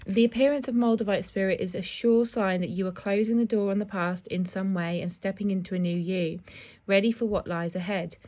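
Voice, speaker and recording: Chatty woman with a croaky voice. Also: mid-range voice, fairly even intonation, brisk. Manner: chatty, warm asides, often laughs at herself. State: slightly croaky voice. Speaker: intuitive card reader. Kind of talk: casual spiritual card reading.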